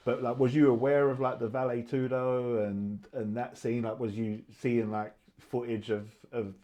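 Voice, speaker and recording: A man's voice: medium pitch, level tone, moderate pace, mellow. Casual male interviewer, loose phrasing. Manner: informal, many backchannels and fillers.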